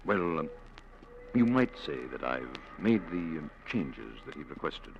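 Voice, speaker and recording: A very deep, gravelly visiting lawyer. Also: male voice, very animated, full character voice. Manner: slow, deliberate, hesitant. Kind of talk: 1940s radio acting. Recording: dull, noisy old radio broadcast.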